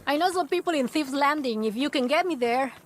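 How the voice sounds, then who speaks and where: A woman's voice: sits high, brisk, slight background noise, close to an everyday voice. An actress playing a stranded, chatty, complaining woman of the Old West in a video game. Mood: distressed, grateful. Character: stranded, grumbling Western woman thrown from her horse. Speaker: stranded traveler. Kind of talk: video game character dialogue.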